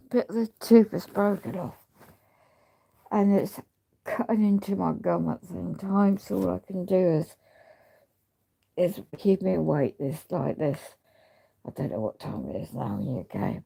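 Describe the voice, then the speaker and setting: A woman chatting casually on a livestream, talking in a halting, rambling way while she loses her voice. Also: lowish voice, warm tone. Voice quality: gravelly. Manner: rambling, halting speech with many restarts. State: losing her voice. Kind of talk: casual livestream chat with viewers.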